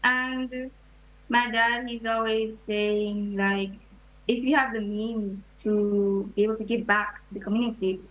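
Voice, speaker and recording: A teenage girl from Jamaica, interviewed over a video call, answering softly and hesitantly. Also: fairly high pitch, steady tone, measured pace. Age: late teens. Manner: soft, hesitant, many ums. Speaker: student guest. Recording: dull lo-fi video call audio.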